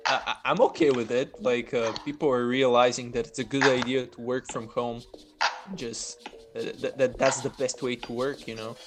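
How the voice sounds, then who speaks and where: Man, from Bulgaria, voice chat, relaxed, loud music behind. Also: mid-range voice, moderate pace. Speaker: guest performer. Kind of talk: casual chat.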